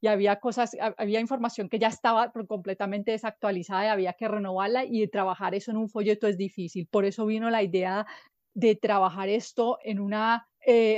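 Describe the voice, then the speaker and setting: Colombian-born woman explaining at a measured pace. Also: mid-range voice, darkish tone, no echo, highs cut off. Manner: explanatory, measured, with fillers and restarts. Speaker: guest from an NGO. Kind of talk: interview answers.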